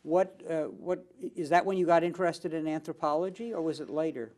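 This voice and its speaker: A man's voice: a little high, a little mellow. Man hosting a formal interview. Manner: measured, courteous questioning. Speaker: interview host.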